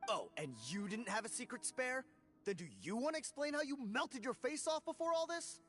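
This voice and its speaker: A man's voice: very high voice, expressive, fairly quick, a bit bright, faint music behind. A man playing an indignant, argumentative, hot-tempered convict in a video game. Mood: accusing. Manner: argumentative, exasperated. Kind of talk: video game dialogue acting.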